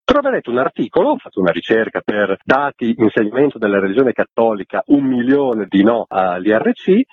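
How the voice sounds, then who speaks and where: A man's voice: medium pitch, sing-song, brisk, slightly rough, dry recording. A man explaining things in long, detailed sentences as an interviewed association spokesman, speaking over a remote call. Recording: muffled phone-like line.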